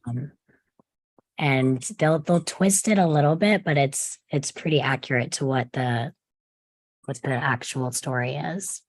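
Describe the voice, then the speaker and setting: Woman with a strikingly low voice, conversational and thoughtful, dry recording. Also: unhurried, dry recording. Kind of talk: casual group discussion.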